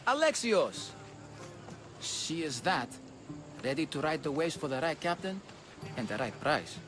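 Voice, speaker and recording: A man's voice: a little high, sing-song, a little slow. A man playing a hearty, hard-bargaining shipbuilder in a video game cutscene, firm and haggling in manner. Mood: hearty, salesman-like. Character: hard-bargaining, hearty male shipbuilder selling his ship. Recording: loud background music.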